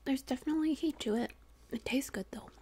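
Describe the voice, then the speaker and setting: Quiet soft-spoken young woman. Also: sits high, fast, a little hiss or hum. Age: young adult. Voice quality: quiet, often whispered. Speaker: channel host. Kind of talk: soft-spoken mukbang chat. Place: living room couch, indoors.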